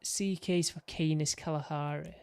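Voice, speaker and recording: A man's voice: a little high, fairly even intonation, normal speed, gravelly, darkish tone, clean tone, no room sound. A man streaming a game, losing his voice, rambling and interrupting himself as he shows off his own builds. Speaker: gaming streamer. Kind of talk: casual commentary while showing his game builds.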